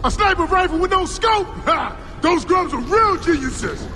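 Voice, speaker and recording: A man playing a brash, loudmouthed, wisecracking soldier in a video game cutscene. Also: very high-pitched, animated, normal speed, full character voice. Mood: mocking. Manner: exclamatory, mouthy. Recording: voice under very loud game music.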